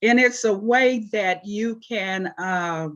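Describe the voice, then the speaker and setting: Older lady in her 70s, talks slow and easy, lots of little pauses, kinda muffled lo-fi sound. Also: medium pitch. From Oklahoma. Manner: slow, unhurried, with frequent pauses and fillers. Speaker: interview guest, artist. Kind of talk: relaxed interview answers.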